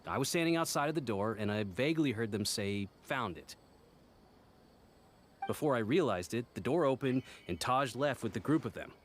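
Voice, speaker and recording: A man's voice: medium pitch, lively intonation, moderate pace, close to an everyday voice. A man voicing a tired, patient office worker in a game. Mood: weary. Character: weary male office worker, mildly worried. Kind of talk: game character dialogue.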